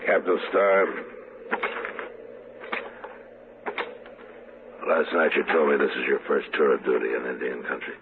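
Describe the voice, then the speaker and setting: An American man playing a grim, steady Old West marshal in a 1950s-style radio drama, both in dialogue and narration. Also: rumbling low, a little fast, vocal fry, a little hiss or hum. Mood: serious. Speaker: lead actor and first-person narrator. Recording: dull vintage radio recording, music behind.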